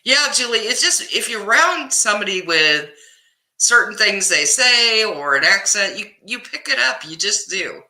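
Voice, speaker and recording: A woman's voice: medium pitch, very animated, moderate pace, pushed and bright, roomy sound, rough recording. A woman from Kansas with a Kansas accent, hosting a casual live stream, reading viewers' comments and answering them by name, often laughing. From Kansas, US Midwest. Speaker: livestream host reading chat.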